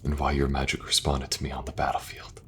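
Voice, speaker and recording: A man playing an earnest young king who speaks gently and falters a little when things get awkward. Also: very deep voice, monotone, quick pace, slightly rough, heavily acted. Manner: gentle, soft-spoken, faltering at awkward moments. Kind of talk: audio roleplay acting.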